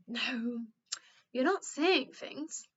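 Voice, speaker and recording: A woman's voice: sits high, expressive, extremely slow, breathy edge, muffled recording, exaggerated voice. A woman voicing a kindly, chatty old lady in a storybook, with a merry, reassuring manner. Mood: earnest, confiding.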